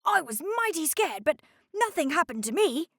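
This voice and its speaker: A woman's voice: high-pitched, very animated, moderate pace, a bit bright, no room sound, studio quality, voice pushed up, full character voice. A woman voicing a male hydrocopter, a drowsy, easily startled vehicle character in a children's storybook. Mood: shaken, relieved.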